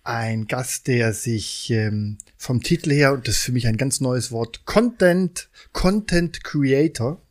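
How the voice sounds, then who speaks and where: A man hosting a podcast with playful, effusive banter and gentle teasing. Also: mid-range voice, takes it slowly, hardly any pauses. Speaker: podcast host.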